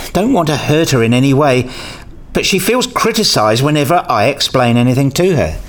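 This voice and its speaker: A man playing an earnest, pedantic husband and father who narrates his day in a radio play, with a deliberate, fussy manner. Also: medium pitch, close to an everyday voice. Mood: troubled. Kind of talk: radio play dialogue and first-person narration.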